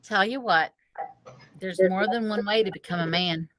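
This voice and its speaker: A wisecracking storybook mother acted out by a woman reader. She teases her young son in a mock-serious way, with a mid-pitched voice. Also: moderate pace, a crowd in the background, natural voice. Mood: dry teasing. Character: teasing, wisecracking mother of a fourth-grade boy.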